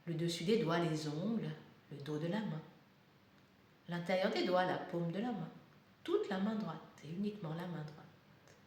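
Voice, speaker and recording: A woman guiding a yoga meditation in calm, deliberate short phrases with pauses between instructions. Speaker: yoga nidra guide. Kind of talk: guided meditation, slow soothing instruction. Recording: very echoey room, faint rumbling noise.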